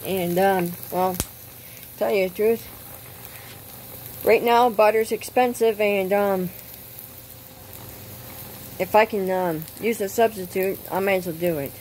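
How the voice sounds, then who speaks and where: A woman hosting her own cooking channel, explaining step by step outdoors at a grill, halting often with ums. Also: mid-range voice, darkish tone, a little hiss or hum, rough recording. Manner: unhurried, hesitant with frequent ums. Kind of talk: casual cooking demonstration.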